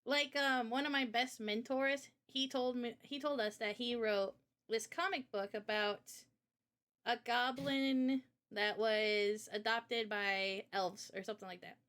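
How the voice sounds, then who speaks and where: A woman with a fairly high, very bright voice hosts a casual live stream conversation. She is an illustrator and speaks in an earnest, passionate, unscripted way. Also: steady tone, measured pace. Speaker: live stream host interviewing a guest.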